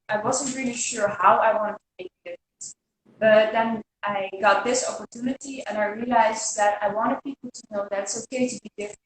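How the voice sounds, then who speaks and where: A woman's voice: fairly high pitch, a little hiss or hum. Young Dutch woman in a very echoey room. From Netherlands. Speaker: guest. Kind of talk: casual live video chat. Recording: very echoey room, distant mic.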